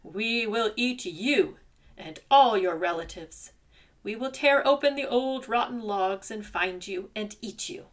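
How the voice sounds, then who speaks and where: A woman voicing a big, fat, lazy male bear in a spoken folktale, with a deep gruff growl, loud and slow. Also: lightly acted. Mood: gloating.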